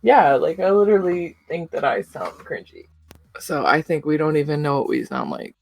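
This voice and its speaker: A woman's voice: sits low, big pitch swings, normal speed, gravelly, darkish tone, low-quality recording. A young woman co-hosting a podcast, chatting casually, rambling with lots of fillers and giggles. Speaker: podcast co-host. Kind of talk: casual chat.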